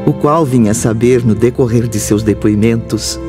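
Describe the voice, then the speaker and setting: A performer playing an earnest, devout spiritist medium, narrating an audio drama in a measured, formal, solemn way. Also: medium pitch, music in the background, natural voice. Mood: reflective, earnest. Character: young spiritist medium, earnest and devout, recalling past work.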